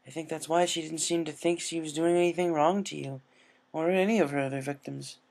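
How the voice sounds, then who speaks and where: A narrator voicing a tender, regal young female alicorn princess in a gentle, formal, measured way for a story reading. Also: medium pitch, steady tone, vocal fry, pitched above the performer's own voice, lightly acted. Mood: calm, explanatory.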